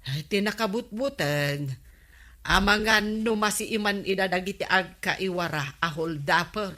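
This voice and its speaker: A woman's voice: medium pitch, measured pace, sharp tone. A woman acting a sensible, gossiping Filipino village wife who complains dryly in a comedy radio drama. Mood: disapproving.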